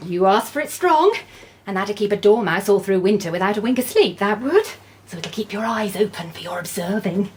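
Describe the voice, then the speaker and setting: An actress playing a chatty, gossipy shopkeeper with a husky voice. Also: female voice, medium pitch, lively intonation, brisk, a little mellow, a little hiss or hum, natural voice. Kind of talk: radio drama acting.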